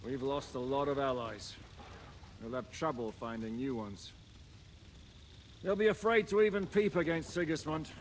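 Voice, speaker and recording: An actor playing a pragmatic, commanding medieval nobleman in a video game, measured and decisive. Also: medium pitch, a little hiss or hum, natural voice. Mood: grimly decisive. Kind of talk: video game drama acting.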